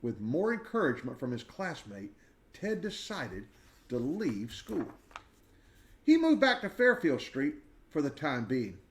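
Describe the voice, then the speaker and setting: A man reading a children's biography aloud in an expressive storybook style, with dramatic emphasis and deliberate pauses. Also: medium pitch.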